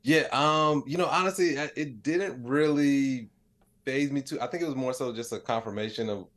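A man's voice: mid-range voice, moderate pace, fairly bright tone. A middle-aged man with an American accent answering interview questions in a casual, laid-back, reflective storytelling way. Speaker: podcast guest, comedian and digital creator.

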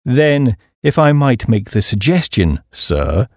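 A man's voice: medium pitch, big pitch swings, darkish tone, dry recording, exaggerated voice. Male narrator as unflappable butler, English accent, deferential, precise, courteous, dry close sound, audiobook. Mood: calm, deferential. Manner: formal, measured, courteous.

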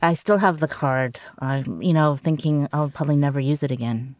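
Middle-aged Canadian woman, Cantonese first language; low, dry and reflective. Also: normal speed, darkish tone, dry recording. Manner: reflective, frequent "you know". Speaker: journalist guest. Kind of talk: interview conversation.

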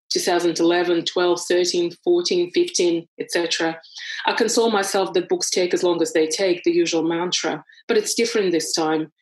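A woman's voice: on the low side, rough recording. Woman author with a heavy foreign accent. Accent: foreign accent in English. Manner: thoughtful, searching for words, frequent restarts. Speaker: author reading from her book. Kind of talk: reading aloud, then conversational interview.

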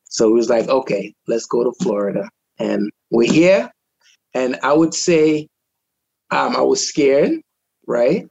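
Man from Trinidad, gravelly voice, relaxed chatty testimony, dry muffled video-call sound. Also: medium pitch, unhurried, dry recording. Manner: relaxed storytelling with many fillers. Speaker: guest. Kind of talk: personal testimony in casual chat. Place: at home.

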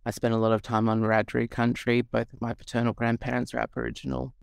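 A man's voice: mid-range voice, completely flat delivery, fast talker, vocal fry, dry recording, clean studio sound. A man hosting a podcast, earnest and enthusiastic as he introduces the show and asks questions. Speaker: podcast host.

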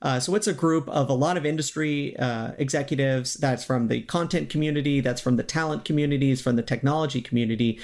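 A man's voice: mid-range voice, brisk, hardly any pauses, a little mellow. A man speaking as an interview guest, explaining things in an earnest, conversational way. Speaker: podcast guest, synthetic voice company executive.

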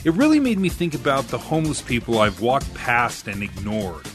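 Moved male radio personality, radio drama, expressive range, light music. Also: mid-range voice, moderate pace. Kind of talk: scripted radio drama acting.

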